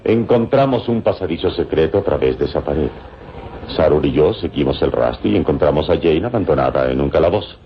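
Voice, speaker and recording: An actor playing a calm, shrewd and sceptical hero in a radio serial, speaking in a deep, gravelly, measured voice. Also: male voice, a little hiss or hum. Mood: sceptical, composed. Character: calm, shrewd heroic adult man, quietly sceptical. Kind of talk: vintage radio serial acting. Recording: dull radio recording with music.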